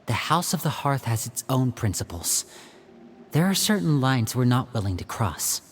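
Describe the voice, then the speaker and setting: Composed young man, an actor, earnest, firm, lively pitch, long reverb, game dialogue. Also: slight background noise, lightly acted. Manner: measured, explanatory, firm. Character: composed young male operative, loyal, earnest leader. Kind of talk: video game character dialogue.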